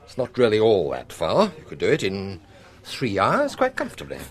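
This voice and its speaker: Suave, lively-voiced police chief. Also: male voice, lowish voice, moderate pace, full character voice. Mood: unruffled, sly. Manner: urbane, mock-apologetic, elliptical. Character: suave, unruffled senior male police commissioner. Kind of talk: naturalistic radio drama acting.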